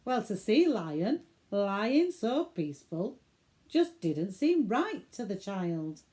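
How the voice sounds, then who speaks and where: A woman with a Northern English accent recites comic verse as an expressive, theatrical bedtime storyteller for children. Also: medium pitch. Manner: theatrical, deliberate delivery.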